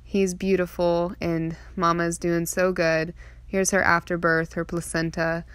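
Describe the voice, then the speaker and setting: A woman's voice: fairly low pitch, level tone, a little slow, dry recording. A young adult woman narrating a personal vlog in a heartfelt, earnest way. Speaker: farm vlogger and mother. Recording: clearly noisy outdoor ambience.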